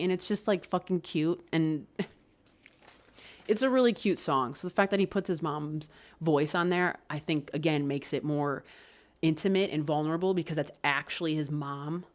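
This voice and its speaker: A woman casually talking through an album review, loose and expressive, swearing and laughing often. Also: low voice. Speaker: music reviewer.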